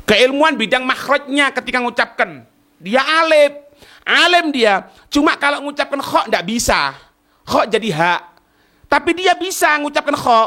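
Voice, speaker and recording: A man's voice: extremely high pitch, bright, forceful tone. A man teaching an Islamic lesson, explaining a text line by line in a didactic way, repeating phrases and asking rhetorical questions. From East Java, Indonesia.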